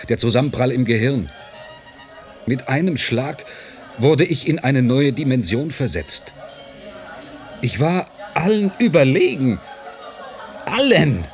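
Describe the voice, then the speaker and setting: A tormented man in a radio drama, cut off from the world. His delivery is weary and brooding, with dramatic intensity. Faint music sits behind the mid-pitched voice. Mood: anguished, intense. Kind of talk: German radio play acting.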